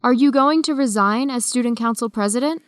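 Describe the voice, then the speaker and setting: A woman's voice: a little high, fairly quick, clean tone, no echo, studio quality, natural voice. An actress playing a firm, principled young woman with a direct manner in an educational radio drama. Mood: firm, indignant. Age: young adult.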